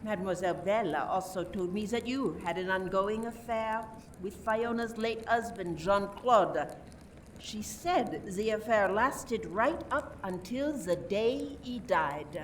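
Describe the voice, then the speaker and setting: A woman's voice: medium pitch, a little slow, close to an everyday voice. Woman doing a french lady inspector in a radio whodunit, dramatic n accusing, bit far from mic, slight noise. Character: suspicious, theatrical French woman police inspector questioning suspects. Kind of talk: amateur radio play acting.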